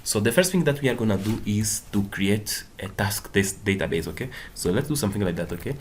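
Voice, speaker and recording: A man's voice: expressive, brisk, slight background noise. Portuguese-speaking man teaching code. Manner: casual, repeats "okay" and "boom" often; non-native English with small slips. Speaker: coding tutorial host. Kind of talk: screen-recorded coding walkthrough.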